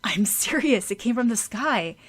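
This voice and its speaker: A woman's voice: on the high side, animated, normal speed, voice pushed up. A woman voicing an earnest teenage boy who protests in an insistent, exasperated way in an audiobook.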